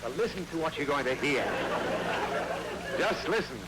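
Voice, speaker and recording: Guy playing a pompous fed-up dad, bouncy highish voice, hissy old radio. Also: animated, moderate pace, a loud crowd, natural voice. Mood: exasperated. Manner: pompous, exasperated. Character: long-suffering blustery father. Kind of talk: 1940s radio comedy acting. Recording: crackly old radio recording.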